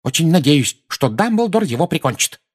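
A man's voice: on the high side, expressive, a little fast, vocal fry, breathy edge, pitched above the performer's own voice, full character voice. A man voicing a frantic, scolding old woman in an audiobook, fretful and fierce in her exclamations. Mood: furious. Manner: lamenting, exclamatory, nagging.

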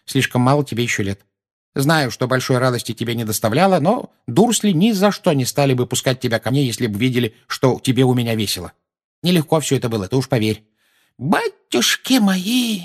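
A man's voice: mid-range voice, expressive, fast, voice pushed up, natural voice. A man voicing a frantic, scolding old woman in an audiobook, fretful and fierce in her exclamations. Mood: confiding. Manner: lamenting, exclamatory, nagging.

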